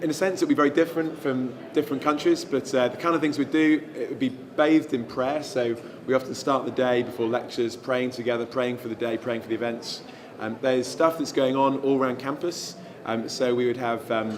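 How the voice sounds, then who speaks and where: A man who is a guest evangelist, answering interview questions in a relaxed, thoughtful way with frequent ums. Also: mid-range voice, background noise. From England.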